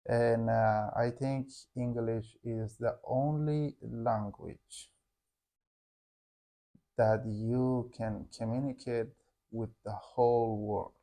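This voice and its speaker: A young man speaks English as an in a relaxed chat with his wife, at an ordinary, mid-range pitch. He pauses often and circles back to find his words, filling the gaps with "like". He sounds like a teacher talking informally to his learners. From Afghanistan. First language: Hazaragi. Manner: halting, searching for words, frequent "like". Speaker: English teacher and channel co-host.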